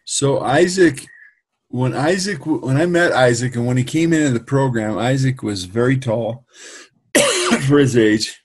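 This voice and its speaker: A middle-aged man with a raspy voice who coaches quarterbacks, interviewing a former player in a casual, teasing way. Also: medium pitch, a little slow. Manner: teasing, chuckling between lines. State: raspy voice from playing golf.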